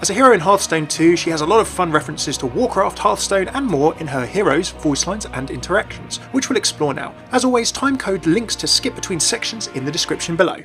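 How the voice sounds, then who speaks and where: A man's voice: high-pitched, animated, brisk, hardly any pauses, fairly bright tone. A man narrating a scripted gaming explainer in a chatty way with wry asides. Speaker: video narrator and game commentator. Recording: voice-over with light background music. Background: background music.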